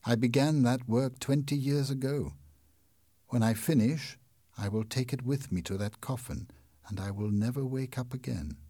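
A man's voice: medium pitch, steady tone, normal speed, mellow, no room sound, clean studio sound, close to an everyday voice. A man voicing a tormented, lovesick masked male phantom, pleading and anguished. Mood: somber.

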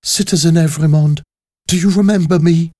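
A man playing a gentle young woman held prisoner, pitched high and earnest. She speaks timidly and pleadingly, in dramatic storybook style. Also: fairly even intonation, normal speed, no echo, clean studio sound, natural voice. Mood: timid, hopeful.

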